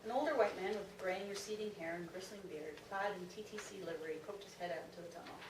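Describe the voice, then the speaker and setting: A woman author reading her own story aloud at a live event, in a measured literary narration. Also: mid-range voice. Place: indoor convention event room. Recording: echoey, noisy room recorded from far off.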